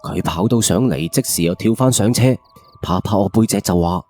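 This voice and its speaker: A man's voice: low-pitched, moderate pace, a little mellow, faint music behind. A man with a Cantonese accent narrating a first-person ghost story in a colloquial, suspenseful late-night radio style.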